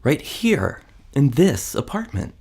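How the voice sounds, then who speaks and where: A man's voice: medium pitch, very animated, slow, vocal fry, a little mellow, husky, heavily acted. A man playing an earnest, down-to-earth character in an audio drama, speaking in a plain, conversational way. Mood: serious.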